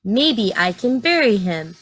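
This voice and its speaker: A woman's voice: medium pitch, very animated, unhurried, fairly bright tone, rough recording. A woman voicing a determined, easily annoyed male bear in a children's storybook, speaking emphatically. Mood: resolved. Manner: emphatic, exclaiming and sighing.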